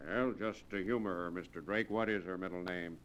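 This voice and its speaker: An actor playing a skeptical, authoritative small-town sheriff in a 1940s radio drama. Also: male voice, low-pitched, fairly even intonation, a little fast, fairly bright tone, a little hiss or hum. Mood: doubtful. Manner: plain-spoken, authoritative.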